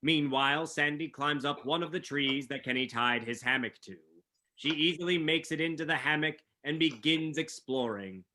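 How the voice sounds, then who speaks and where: Bright, forceful male narrator. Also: a little high, moderate pace, a little hiss or hum. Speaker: narrator reading stage directions. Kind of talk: scripted narration.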